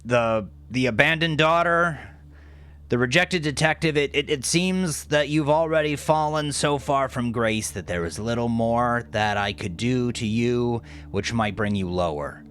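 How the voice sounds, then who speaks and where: A man voicing a gangster henchman who haltingly declaims a venomous, gloating letter in an audio drama. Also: medium pitch, fairly bright tone, clean tone, voice pushed up, close to an everyday voice.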